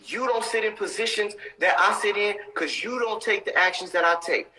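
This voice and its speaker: A man's voice: high voice, moderate pace, sharp tone, rough recording. A man in his thirties giving motivational talk on a live stream, intense and preachy, repeating his points for emphasis. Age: 30s.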